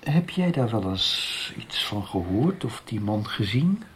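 A man's voice: on the low side, very animated, unhurried. An actor playing a guarded, troubled family man in a radio soap, talking hesitantly and evasively. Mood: uneasy.

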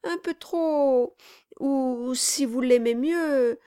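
A woman voicing an obsequious, timid male lawyer who wheedles and flatters. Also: high voice, drawn-out words, clean tone, pitched above the performer's own voice. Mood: wheedling. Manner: fawning, wheedling, deferential. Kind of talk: audiobook reading.

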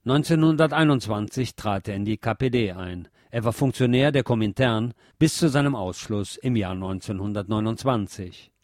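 A man's voice: mid-range voice, darkish tone, no echo, studio quality. A man reading scripted narration in the manner of a formal biographical note with credits.

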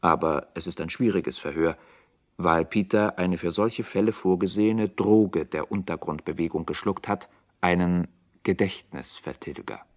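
A man's voice: deep voice, moderate pace. A man reading scripted narration for a radio drama in a sober, formal manner.

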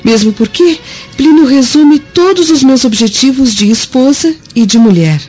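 An actress playing a dignified, trusting young Roman wife in a radio drama, speaking formally and earnestly. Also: female voice, sits high, lively intonation, normal speed, a little mellow, slightly rough. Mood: dignified, firm. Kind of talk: dramatic radio play acting. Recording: dull lo-fi audio with music behind.

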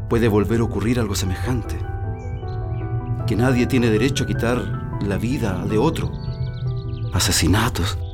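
A man with a Chilean accent acting in a radio drama as an earnest, moved witness, speaking in solemn, measured, declamatory phrases. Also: fairly low pitch, lightly acted.

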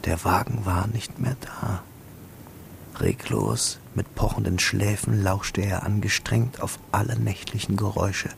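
A man reading scripted literary narration for a radio drama, with a gravelly voice. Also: fairly low pitch, fairly even intonation, measured pace, a little hiss or hum. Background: music.

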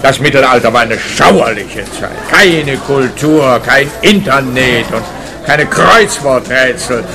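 A man's voice: mid-range voice, expressive, slow, gravelly, music in the background. An actor playing an opinionated, cynical man in a radio comedy, making dry, grumbling pronouncements. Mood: dismissive, sardonic. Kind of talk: radio play dialogue.

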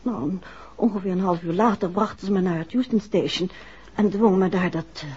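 A woman's voice: on the low side, a little fast, darkish tone, husky. A woman acting a detective's shaken but stubborn, affectionate wife in a 1960s radio drama, hesitant and insistent by turns. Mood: hesitant, unsure. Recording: muffled old 1960s radio recording.

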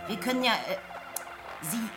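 A woman's voice: fairly high pitch, lively intonation, moderate pace, lightly acted. A woman playing a bossy, preachy guerrilla revolutionary in a German radio play, lecturing in political slogans. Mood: commanding, reassuring. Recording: music behind.

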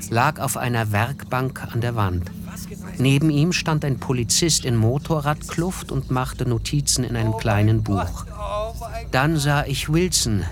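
A man narrating a radio play in a measured, reflective way. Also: mid-range voice, noisy background. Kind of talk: scripted literary narration.

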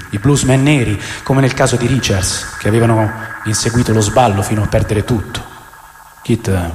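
A man's voice: lowish voice, darkish tone, natural voice. A man playing a brooding narrator who mourns a lost childhood friend, in a solemn, reflective radio drama monologue. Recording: echoey long reverb, faint background noise.

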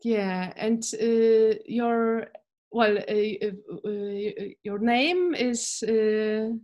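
A woman of about forty with a slightly high voice, who talks slowly and haltingly. She searches for words and starts her phrases over and over. She asks questions as an interviewer in a relaxed chat. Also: dull audio. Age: 40s. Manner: hesitant, halting, with many "uh" fillers and restarts. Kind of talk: casual interview.

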